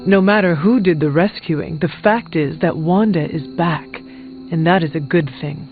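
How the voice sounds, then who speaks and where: An actor playing a steadfast, stern warrior hero in a video game, speaking in a plain, formal, resolute way. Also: male voice, on the high side, unhurried, phone-quality sound, close to an everyday voice. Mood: reassuring. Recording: band-limited game audio with background music.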